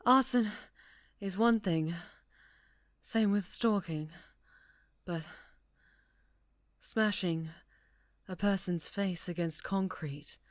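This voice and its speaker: A woman playing an obsessive, wounded superhero in a dramatic ASMR roleplay, halting and sweetly menacing, slipping into whispers. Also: mid-range voice, dry recording, studio quality, lightly acted. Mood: dark amusement.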